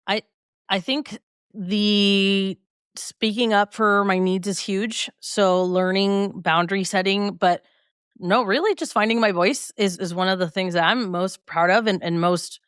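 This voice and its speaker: A woman guest talks through her journey in a relaxed, reflective way. She is upbeat and laughs lightly as she speaks. Her voice is smooth and clear, recorded dry and close. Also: medium pitch, unhurried, no echo. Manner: reflective and upbeat, laughs often mid-sentence. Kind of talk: conversational interview.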